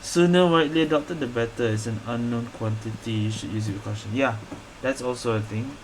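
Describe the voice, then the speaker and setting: A woman's voice: very deep voice, a little fast. A woman streamer giving casual playthrough commentary in fragmented, muttered reactions, with room noise behind her. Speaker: gaming streamer.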